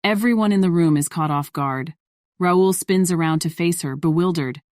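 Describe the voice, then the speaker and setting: A woman's voice: lowish voice, moderate pace, a little mellow, dry recording, studio quality. A woman narrator reading scripted story narration for an audio drama.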